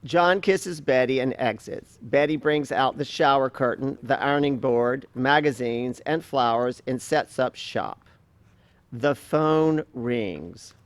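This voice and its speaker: Mid-pitched stage directions reader. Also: slow pace, dry recording. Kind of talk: scripted narration at a play reading.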